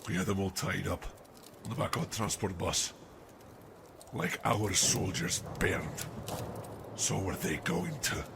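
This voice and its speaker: A man playing a haunted, fatherly older army captain in a dramatic radio play, speaking gravely and deliberately over noisy ambience. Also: sits low, big pitch swings, exaggerated voice. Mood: haunted, weary. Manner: grave, deliberate storytelling, calls listener "laddie". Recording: noisy amateur audio drama with outdoor ambience.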